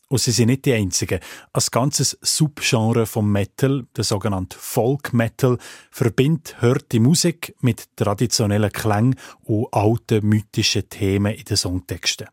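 Male radio reporter, Swiss German accent, rough-edged lowish voice, scripted delivery, dry sound. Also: a little mellow, no echo.

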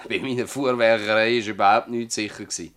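An actor playing a stubborn old farmer in a radio drama, speaking emphatically and haltingly with a Swiss German accent. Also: male voice, lowish voice, steady tone, a bit bright, natural voice. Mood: defensive, earnest. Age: older. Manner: emphatic, halting, earthy testimony. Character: gruff old Swiss farmer, stubborn, defensive, worn by toil. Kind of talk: dialect radio drama acting.

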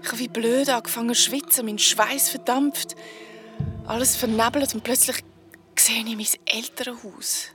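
A woman's voice: on the high side, steady tone, moderate pace, over music, natural voice. An actress playing a shaken young ghost woman with a Swiss accent, telling eerie memories in a colloquial, dramatic way in an audio drama. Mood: awed, fearful.

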